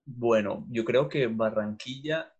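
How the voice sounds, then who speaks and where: A man's voice: mid-range voice, slow pace, a little mellow, breathy edge. A middle-aged man from Venezuela, a teacher being interviewed, talking casually and thoughtfully with frequent fillers and restarts. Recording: dull lo-fi sound.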